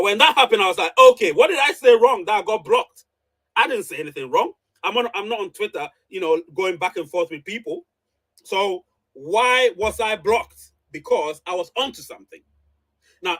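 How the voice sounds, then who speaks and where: High-pitched emphatic man. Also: a bit bright, low-quality recording. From Ghana. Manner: emphatic, repeats phrases for emphasis. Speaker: online show host. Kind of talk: live reaction commentary to followers.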